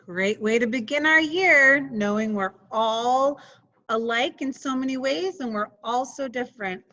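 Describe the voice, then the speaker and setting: A woman reading a children's story aloud and chatting about it in a measured, reflective way. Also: fairly high pitch, pushed and bright, dull audio. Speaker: story reader, co-host. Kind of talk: reading a picture book aloud, with chat.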